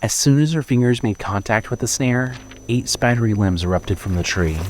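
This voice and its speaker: A man's voice: mid-range voice, lively intonation, normal speed, slight background noise. A man narrating a scripted horror story as the storyteller of an audio drama.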